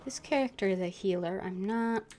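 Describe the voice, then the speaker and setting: Female game streamer, medium pitch, reads on-screen dialogue aloud and thinks out loud. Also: normal speed, faint music behind. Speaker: gaming streamer. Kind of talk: live game commentary, reading dialogue aloud.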